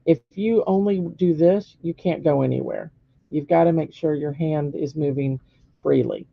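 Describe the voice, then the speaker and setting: Hoarse woman teaching painting strokes, gravelly. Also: sits low, normal speed, no room sound, phone-quality sound. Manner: patient step-by-step instruction with frequent "okay?" checks. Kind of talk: live-streamed painting demonstration.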